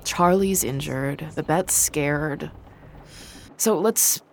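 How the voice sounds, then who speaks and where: Low-voiced, weary, sardonic adventurer woman. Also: very slow, vocal fry, a little hiss or hum, full character voice. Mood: weary, irritated. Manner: dry, sardonic, tender with loved ones. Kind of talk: audio drama acting.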